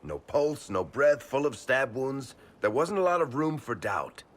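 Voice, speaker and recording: A man's voice: mid-range voice, very animated, unhurried. An actor playing a wry, world-weary man in a fantasy game, telling things in a casual, frank and emphatic way. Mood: uneasy, wry. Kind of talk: video game voice acting.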